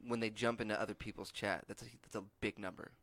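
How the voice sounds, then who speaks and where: A man's voice: lowish voice, steady tone, quick pace, fairly bright tone, no room sound. A man chatting casually as a podcast co-host, rambling and restarting, with a lot of swearing. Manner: rambling storyteller, frequent restarts and profanity. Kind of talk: casual chat.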